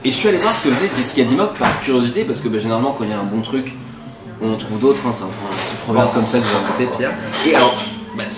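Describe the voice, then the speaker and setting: A man's voice: mid-range voice, expressive, very fast, heavy background noise. A man, co-hosting a web show in a casual, wry, colloquial way. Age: 20s. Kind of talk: casual presenting to camera. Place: diner booth, indoors. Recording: roomy sound under loud music.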